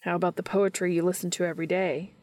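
Woman as teasing adult brother in audiobook fiction: unimpressed, dry, patient, lower pitch. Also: level tone, fast, a little mellow, no echo, close to an everyday voice. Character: long-suffering, teasing adult younger brother.

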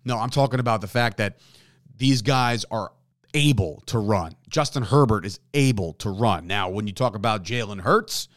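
A man hosting a sports podcast, talking in a casual, animated way and often repeating himself and asking "right?". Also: on the low side, moderate pace, dry recording, studio quality. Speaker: podcast host.

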